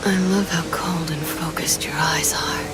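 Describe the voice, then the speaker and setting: An actress voicing a cold, seductive female villain in a breathy whisper. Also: low-pitched, fairly even intonation, a little slow. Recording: clip from a show with loud music.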